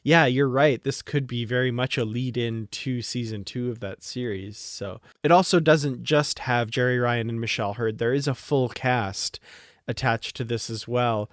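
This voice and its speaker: A man's voice: mid-range voice, normal speed, no room sound, studio quality. A man hosting a fan podcast, talking through entertainment news in a casual, conversational way. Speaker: podcast host.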